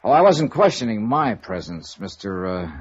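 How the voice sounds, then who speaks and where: An actor playing a wry, probing insurance investigator in a 1950s radio noir drama, with a dry, sardonic manner. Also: male voice, on the low side, sing-song, moderate pace, breathy edge. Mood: wry, guarded. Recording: muffled, hissy vintage radio recording.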